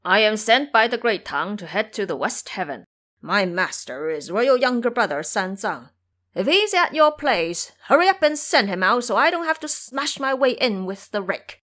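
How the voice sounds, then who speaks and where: A woman's voice: mid-range voice, big pitch swings, moderate pace, fairly bright tone. Woman putting on a brash, food-loving pig monk. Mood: blustering. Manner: blustering, eager, brash.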